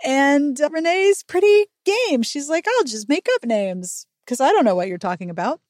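A woman's voice: high voice, sing-song, moderate pace, darkish tone, dry recording, clean studio sound. A woman hosting a podcast, giving a casual, chatty recap with wry, emphatic asides and informal language. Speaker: podcast host.

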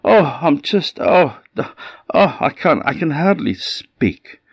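A man's voice: on the high side, big pitch swings, takes it slowly, gravelly, husky, dry recording, highs cut off, pitched above the performer's own voice, full character voice. A man voicing a whining, lying female goat in a folk tale, moaning and self-pitying in a Scottish accent. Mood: groaning, put-upon. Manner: moaning, theatrically aggrieved.

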